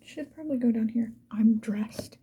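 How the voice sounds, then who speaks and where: A woman's voice: fairly high pitch, normal speed, dark tone. Whispering woman making casual ASMR. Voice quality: breathy whisper. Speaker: ASMR video creator.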